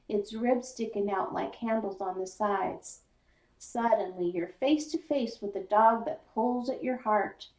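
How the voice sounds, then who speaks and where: A woman voicing a stern, plain-spoken father who lectures his son in folksy rural phrasing, for a children's story. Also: on the high side. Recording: roomy echo, distant mic.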